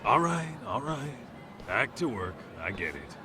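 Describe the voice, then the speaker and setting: A man's voice: medium pitch, sing-song, measured pace, sharp tone, slight background noise. A man playing a brash, flirty detective sidekick in a video game, talking in a cocky, slangy way. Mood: sheepish, casual. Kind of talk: video game cutscene dialogue.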